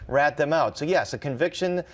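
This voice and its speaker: A man's voice: on the high side, fairly quick. An American man, a reporter, explaining news in a conversational way, thinking aloud as he goes. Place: TV studio.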